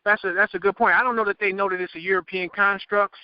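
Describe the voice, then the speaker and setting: A man in a casual group discussion, measured and agreeable, explaining carefully. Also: high-pitched, fairly even intonation, smooth, no room sound. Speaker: podcast panelist. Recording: dull dry lo-fi audio.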